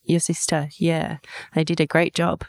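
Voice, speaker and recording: A woman's voice: low-pitched, monotone, moderate pace, no room sound. A woman hosting and interviewing guests in a conversational way. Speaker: podcast host and interviewer.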